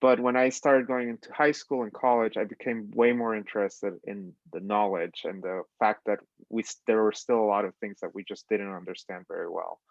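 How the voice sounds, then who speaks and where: A man from Colombia speaks in a low, relaxed voice, rambling casually with many fillers. The audio is dry and dull, like a lo-fi video call. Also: normal speed, hardly any pauses, dry recording. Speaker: trainee panelist. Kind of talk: panel answer.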